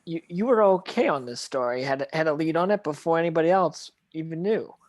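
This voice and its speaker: A man playing an earnest businessman in a scripted table reading, reading in a halting way with repeated words. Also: on the high side, animated, natural voice. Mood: businesslike. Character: earnest businessman giving orders, remorseful son.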